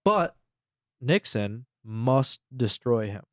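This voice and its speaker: A man's voice: medium pitch, sing-song, slow, dry recording. Informal male podcast host, dry close sound. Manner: rambling, informal, swears freely. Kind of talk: casual recap storytelling.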